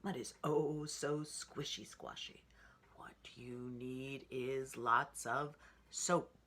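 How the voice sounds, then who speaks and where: A woman's voice: extremely low pitch, steady tone, slow pace, a bit bright, heavily acted. A woman voicing a stern, fuddy-duddy father pig from a storybook, admonishing in a rhythmic way.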